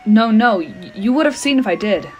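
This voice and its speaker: An actress playing an anxious doctor in a radio play, earnest and pleading. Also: female voice, mid-range voice, animated, normal speed, slight background noise, lightly acted. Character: anxious, reasoning doctor facing a gun. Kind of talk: dramatic radio play acting.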